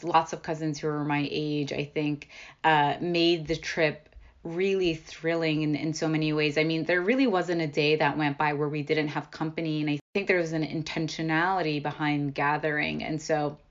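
A woman's voice: low voice, muffled recording. A woman journalist from the United States telling personal stories in a thoughtful, reflective way in an interview. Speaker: journalist guest.

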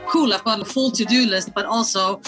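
A woman's voice: mid-range voice, a little fast, over music. A woman in her thirties from Germany, chatting openly as a guest over a remote call, with lots of "you know" fillers. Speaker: guest music producer. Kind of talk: casual interview chat. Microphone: remote video call.

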